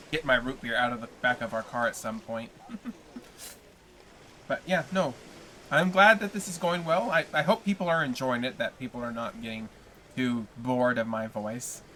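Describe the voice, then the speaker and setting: A man hosting a casual livestream, chatting loosely with viewers between chapters into a desk mic. His voice is bright and a bit sharp, and he rambles at an easy, friendly tempo. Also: medium pitch, slight background noise, low-quality recording. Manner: relaxed, rambling, chats with viewers. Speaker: livestreamer reading a novel aloud. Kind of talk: casual stream chat with viewers.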